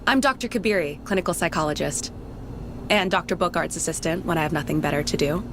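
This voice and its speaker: Woman acting a low-pitched, friendly psychologist. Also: fast talker, background noise, close to an everyday voice. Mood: friendly, concerned. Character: friendly, attentive female clinical psychologist. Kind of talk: video game cutscene acting.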